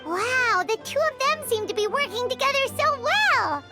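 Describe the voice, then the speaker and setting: A woman voicing a tiny, bubbly, curious floating companion in a video game cutscene, with a squeaky childlike voice. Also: very animated, normal speed, full character voice. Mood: chatty, curious. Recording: loud music behind the voice.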